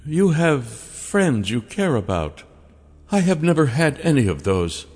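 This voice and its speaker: A man's voice: medium pitch, big pitch swings, a little mellow, breathy edge, long reverb tail, faint music behind, pitched above the performer's own voice. A male narrator voicing an ancient female dragon in the form of a woman, coaxing and silky. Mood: seductive. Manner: silky, coaxing, slow and drawn out. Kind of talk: audiobook character voice.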